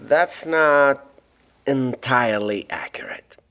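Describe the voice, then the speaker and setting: A man's voice: mid-range voice, takes it slowly, fairly bright tone. A man acting in an audio drama as a stranded scientist, anxious and insistent, with a formal, precise way of talking. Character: stranded government scientist, a doctor, anxious, insistent, self-important.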